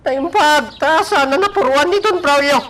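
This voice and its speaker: A woman's voice: high voice, normal speed, fairly bright tone, slight background noise. A woman with an Ilocano accent acting an agitated villager in a radio drama. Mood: agitated, anxious.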